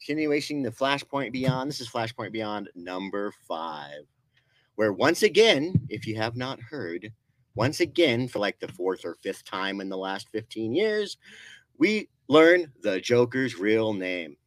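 A man's voice: on the low side, big pitch swings, a little slow, dry recording. A man co-hosting a comic shop show, walking through new comic releases with enthusiasm. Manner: enthusiastic, recommends books one by one. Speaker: co-host presenting new comics. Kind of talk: casual chat. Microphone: desk mic.

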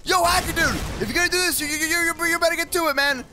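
Man as jokey game adventurer, very high voice, stammering banter over loud music. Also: expressive, a little fast. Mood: urgent. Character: excitable, wisecracking young adventurer with a pet companion. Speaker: YouTube roleplay creator. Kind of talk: improvised game roleplay acting.

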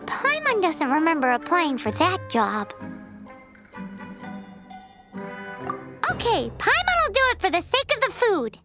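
A woman voicing a tiny, childlike fairy companion in a video game, squeaky, dramatic and excitable. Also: normal speed, music in the background, exaggerated voice. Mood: reluctant. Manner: speaks of self in third person, dramatic.

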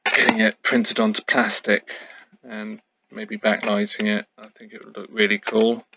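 A man's voice: fairly low pitch, little pitch movement, normal speed, gravelly, sharp tone, a little hiss or hum, poor audio. A middle-aged man giving a casual, unscripted show-and-tell, musing hesitantly as he goes. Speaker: YouTube host. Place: indoors at home.

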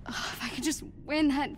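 Awkward, hushed teenage superhero fangirl. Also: high voice, a little hiss or hum. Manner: halting, self-doubting. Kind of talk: naturalistic video game acting.

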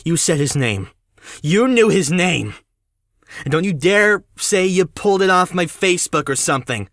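A man's voice: high-pitched, expressive, moderate pace, a bit bright, dry recording, studio quality. A man acting an emotional, pleading young man in an amateur audio drama, desperate for his missing brother. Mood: angry, accusing. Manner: emotional, pleading, sighing delivery.

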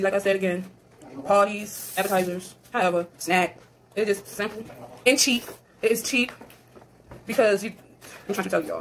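A young woman chatting casually to her viewers while cooking in her home kitchen, calling them y'all. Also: medium pitch. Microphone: phone camera on the counter. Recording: noisy phone recording with kitchen clatter.

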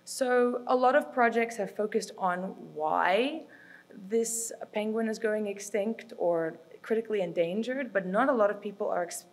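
A woman's voice: medium pitch, rough recording. A young woman from South Africa whose first language is Afrikaans, earnestly explaining her reporting project at a conference podium with frequent ums and uhs. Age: young adult. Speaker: student reporting fellow presenting her project. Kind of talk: conference presentation. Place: hotel function room with a projection screen. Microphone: podium microphone, heard from a distance.

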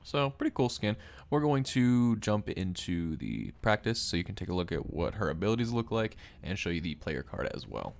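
Low-voiced young man, casual gaming commentary. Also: sing-song, moderate pace, gravelly. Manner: informal, rambling, easily impressed. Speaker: gaming video host. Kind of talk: casual game showcase commentary. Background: game music.

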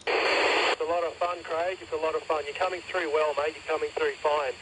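Man, Australian accent, a bit high-pitched, amateur radio chat, two-way radio, loud static. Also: little pitch movement, fairly quick. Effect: heard through a two-way radio. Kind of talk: casual radio contact with call signs. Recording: noisy satellite radio link, loud static.

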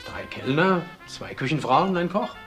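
A man playing an excitable, suspicious restaurant landlord in a crime radio drama, talking briskly. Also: fairly high pitch, soft background music. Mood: helpful, flustered.

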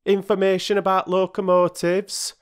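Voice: medium pitch, level tone, normal speed, clean tone, voice pushed up, close to an everyday voice. A narrator voicing an indignant working-class railway porter from a children's storybook, lofty and aggrieved, with ungrammatical speech. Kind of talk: children's storybook character reading.

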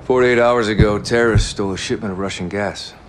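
An actor playing a cool, businesslike covert operative. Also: male voice, on the low side, fairly even intonation, quick pace, a little hiss or hum, natural voice, rough recording. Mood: calm, guarded.

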